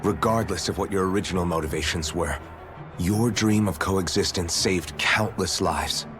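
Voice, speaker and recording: An actor voicing a sincere, steadfast warrior companion in a video game, earnest and measured. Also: male voice, deep voice, natural voice. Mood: earnest, encouraging. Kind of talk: dubbed video game cutscene acting. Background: clear background music.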